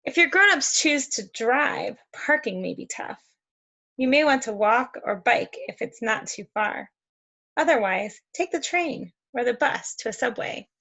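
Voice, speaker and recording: Woman, children's read-aloud host. Higher pitch with noticeable vocal fry, expressive, encouraging, chatting between pages. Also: unhurried. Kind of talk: children's picture book read-aloud with asides.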